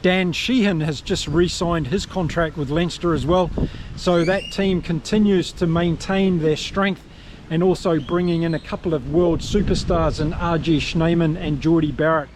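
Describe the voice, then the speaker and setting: A man's voice: fairly high pitch, steady tone, normal speed, noisy background. Upbeat Kiwi man chatting to viewers. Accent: New Zealand. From New Zealand. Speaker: rugby vlogger. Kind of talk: casual solo commentary to camera.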